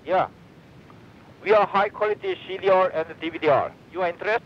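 Salesman on a dull phone line, non-native english, halting, highish voice. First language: not English. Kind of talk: phone call.

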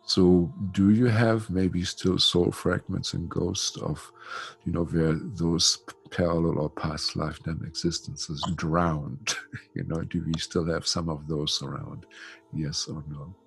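A man's voice: sits low, flat delivery, measured pace, vocal fry, husky, faint music behind. An older man guiding a spiritual session over a video call on a headset, talking loosely with many fillers. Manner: chatty, warm-toned, many "you know" fillers. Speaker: spiritual healer. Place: home room.

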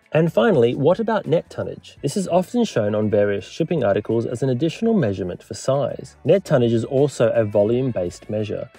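A man, a maritime historian and lecturer, giving scripted explainer narration, clear and measured with a lightly wry touch. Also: mid-range voice, mellow.

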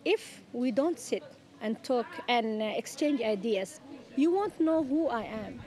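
A woman from Eritrea, a former refugee, speaking thoughtfully in an interview with many pauses and fillers. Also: on the high side, expressive, warm tone. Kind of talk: interview testimony.

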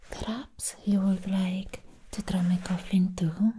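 A woman voicing a sweet, doting vampire girl in an ASMR roleplay, soothing the listener toward sleep in soft, breathy whispers. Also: medium pitch, steady tone, slight background noise, natural voice. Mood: playful, caring. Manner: slow, tender, soothing, repeating words.

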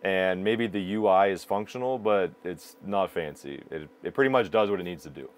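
A man's voice: low-pitched, normal speed. A man in his thirties giving a matter-of-fact, explanatory product review to camera. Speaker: video production company presenter. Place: small indoor broadcast control room.

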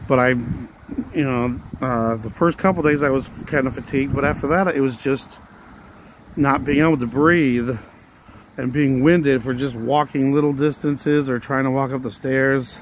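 Hoarse man vlogging on a street walk. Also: mid-range voice, moderate pace, background noise. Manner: rambling, plain-spoken, coughing and sighing. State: out of breath. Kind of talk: casual walking vlog. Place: residential street outdoors. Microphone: handheld phone while walking.

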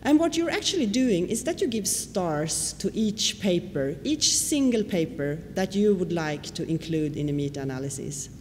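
A woman's voice: on the low side, lively intonation, moderate pace, few pauses, darkish tone. Woman lecturing in an echoey hall. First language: Swedish. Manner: explanatory, with frequent fillers and questions to the audience. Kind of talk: conference lecture. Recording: reverberant hall with faint noise.

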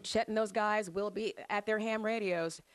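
A woman's voice: mid-range voice, steady tone, moderate pace, smooth, dry recording. Upbeat woman TV field reporter. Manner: upbeat, chatty with anchors. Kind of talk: live TV news report. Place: outdoors at a home and in a parked truck. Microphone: handheld station mic.

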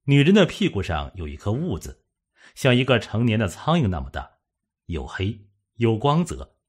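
A man narrating a suspense novel as an audiobook, in a measured, dramatic storytelling manner. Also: on the low side, huge pitch swings, breathy edge.

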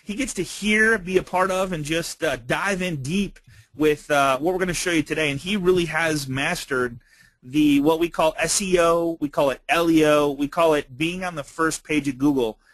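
A man's voice: on the high side, normal speed, a bit bright, no room sound, poor audio. A man hosting a webinar in a casual, effusive and enthusiastic way, chatting with his guest. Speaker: webinar host. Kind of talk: casual chat, introducing and prompting a guest.

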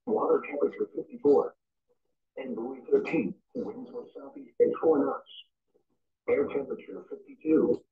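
A man's voice: very high-pitched, gravelly, distant mic. A synthesized man's voice reading a scripted weather forecast over the radio in a formal, impersonal, clipped manner. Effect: synthesized voice played over a weather radio. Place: radio playing in a home room, picked up from a distance. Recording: tinny band-limited radio, picked up from across a room.

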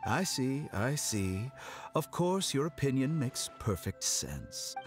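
A silky, self-assured masked villain scholar, polite on the surface and condescending beneath. His mid-pitched voice stays even and controlled. Faint game music plays behind him. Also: slow. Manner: smooth, condescending, coolly polite. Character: suave, arrogant masked male villain scholar. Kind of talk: video game voice acting.

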